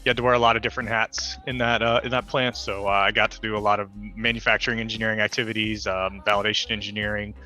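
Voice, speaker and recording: A man introducing his engineering career on a livestream panel, steady with frequent uhs and ums. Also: on the low side, quick pace, few pauses, soft background music. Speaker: mentor panelist. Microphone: video call.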